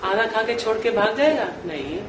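An older woman filming on a phone and narrating casually, mixing in English and laughing warmly as she talks. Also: fairly high pitch, expressive, moderate pace. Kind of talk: casual chat. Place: large home by a garden window. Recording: echoey room with background noise.

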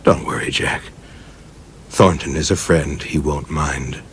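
An actor playing a confident, theatrical young film director in a radio play, declaiming dramatically with a husky voice. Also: male voice, rumbling low, measured pace, fairly bright tone, slight background noise. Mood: brisk, decisive.

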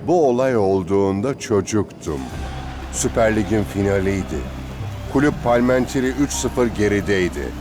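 A male actor as a calm cartoon footballer, senior to his teammates, with a lowish voice. He speaks like a wistful storyteller over music. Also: moderate pace, close to an everyday voice. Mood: wistful, solemn. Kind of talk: animated series dub.

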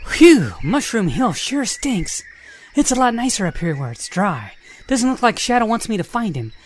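Woman playing young male hedgehog, cocky, breezy, slangy, animated intonation, amateur radio drama, slight background noise. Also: a little high, normal speed. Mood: musing.

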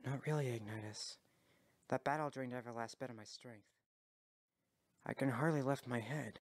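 A man's voice: mid-range voice, animated, normal speed, fairly bright tone, lightly acted. A man voicing a young, kindhearted male dragon hero, earnest and sincere, in an amateur video game fandub. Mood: calm, sincere.

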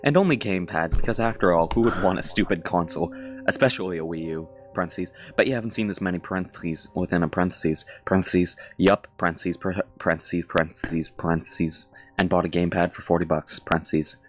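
Man, deep voice, wry mocking reader, light music behind. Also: fast. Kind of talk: comic reading of a fan story.

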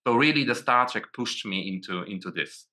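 A Polish-accented man in his forties speaks as a guest over a video call. His voice is very bright and forward, and he stumbles and restarts often, repeating words as he searches for them. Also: fairly low pitch, expressive, moderate pace. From Poland. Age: 40s. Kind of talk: casual video call interview.

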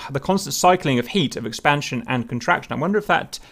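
A man's voice: medium pitch, lively intonation, fast, vocal fry, a bit bright. A man hosting a casual group voice chat, thinking aloud with many fillers and wondering questions. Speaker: host leading a group discussion. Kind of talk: casual group chat over a cockpit picture.

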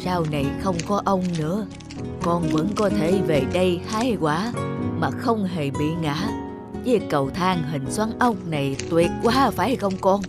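An actor playing a gentle, doting elderly grandfather in an animated film, affectionate and unhurried. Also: mid-range voice, natural voice. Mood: tender, weak. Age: older. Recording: music under the dialogue.

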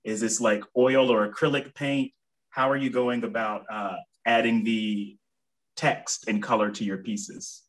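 A man's voice: lowish voice, level tone, unhurried, low-quality recording. A young man hosting a virtual Q&A from home, casually reading out audience questions. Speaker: moderator. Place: home room with plants. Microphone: video call.

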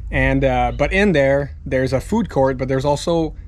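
A man's voice: mid-range voice, measured pace, a little hiss or hum. A Canadian man in his thirties with a laid-back, slangy, sweary way of talking, chatting casually to camera as a sailing vlogger. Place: outdoors in a boat yard among hauled-out boats.

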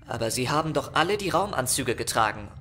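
A man playing a loyal, earnest crewman in a sci-fi horror radio drama, crisp and direct. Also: medium pitch, sing-song, fast talker, pushed and bright, soft background music.

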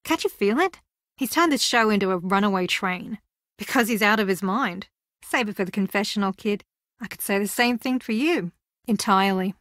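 A woman's voice: medium pitch, animated, moderate pace, fairly bright tone, no room sound, studio quality, lightly acted. An actress voicing a driven, blunt young female reality-show contestant in a video game, wry and clipped. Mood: tense, blunt.

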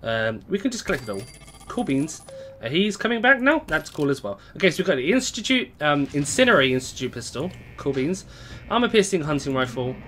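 A young man with a hoarse, fading voice, chatting casually to viewers as he live-streams a game at home on a desk mic. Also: a little high, sing-song, brisk, a bit bright, slight background noise. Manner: chatty, greets viewers, reads chat aloud. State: hoarse, voice going.

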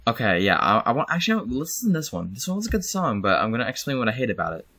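A man's voice: medium pitch, huge pitch swings, rapid-fire, bright, forceful tone. A young man casually commenting on his own channel's videos while screen-recording, rambling and correcting himself. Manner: rambling, self-correcting, chuckles. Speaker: channel host.

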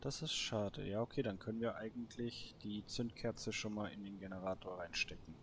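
A man's voice: fairly low pitch, fairly even intonation, moderate pace, noisy background. A man giving casual solo let's play commentary, thinking aloud to himself. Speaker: gaming video host.

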